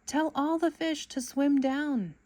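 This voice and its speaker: Woman voicing an eager little boy clownfish, high-pitched. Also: fairly even intonation, slow pace, mellow. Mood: urgent.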